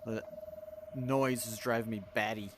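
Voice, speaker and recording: A man's voice: fairly low pitch, fairly even intonation, measured pace. A man giving casual, grumbling, sarcastic game commentary as a video host, over noisy game audio. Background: game sounds and ambience.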